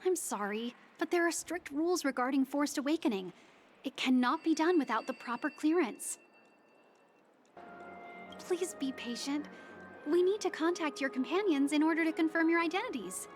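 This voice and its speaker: An actress playing a formal, courteous and apologetic young hotel receptionist in a video game. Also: female voice, high-pitched, lively intonation, normal speed, soft background music. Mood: polite.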